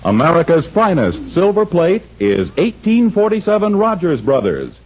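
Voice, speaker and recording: Genial 1940s radio announcer, tongue-in-cheek, swooping intonation, muffled old recording. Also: male voice, sits high, huge pitch swings, moderate pace, exaggerated voice. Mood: grand, promotional.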